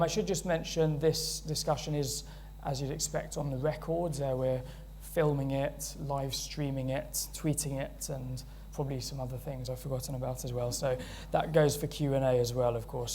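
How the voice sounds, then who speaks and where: Mellow-voiced man chairing a panel. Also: mid-range voice, fairly even intonation, moderate pace, a little hiss or hum. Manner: friendly, hesitant, many fillers. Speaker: event chair. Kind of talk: introducing panel speakers.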